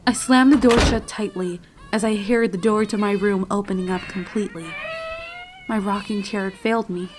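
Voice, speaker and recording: A frightened little filly, voiced by a woman, tells a horror story in solemn, dramatic tones. The delivery is emotive and trembling, at a medium pitch, with clear noise and effects behind it. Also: steady tone, moderate pace, lightly acted. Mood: terrified, tearful. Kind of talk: first-person dramatic fanfiction horror narration. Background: storm and animal sound effects, noise.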